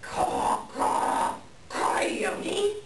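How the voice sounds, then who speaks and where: A woman playing a haughty, scornful raven with theatrical cawing in a reader's theater performance. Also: drawn-out words. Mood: scornful, indignant. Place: indoor library reading, distant mic. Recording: roomy, distant live reading, faint noise.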